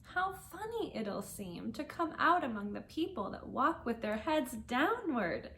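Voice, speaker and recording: A woman's voice: high voice, sing-song, unhurried, gravelly, fairly bright tone, a little hiss or hum, exaggerated voice. A woman voicing a curious, chatty little girl who wonders aloud to herself in an earnest way, in a storybook reading. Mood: wondering.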